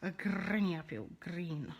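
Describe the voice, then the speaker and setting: A woman's voice: lowish voice, expressive, unhurried, slightly rough. A woman with a Russian accent teaching a live card-making lesson, chatting with viewers by name and rolling her R's. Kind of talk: live-streamed craft lesson.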